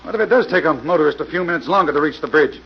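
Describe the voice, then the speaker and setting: A man playing a grumpy, impatient old construction engineer with a raspy voice in an old-time radio drama. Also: fairly high pitch, animated, very fast, a little hiss or hum. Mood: bitter, defensive. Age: older. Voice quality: raspy, creaky. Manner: brusque, irritable, stammering slightly. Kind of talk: 1940s radio acting. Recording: muffled old radio recording.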